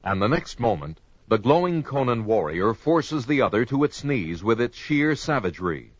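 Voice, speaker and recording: An actor playing a grandiose storyteller, giving emphatic scripted narration of a barbarian adventure in an audio drama. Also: male voice, mid-range voice, very animated, normal speed, no echo. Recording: dull lo-fi audio with music.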